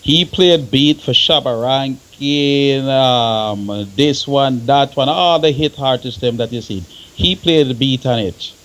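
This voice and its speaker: A man's voice: medium pitch, slow, hardly any pauses, fairly bright tone, low-quality recording. A man with a Jamaican accent explaining things emphatically in casual panel talk, repeating phrases for stress.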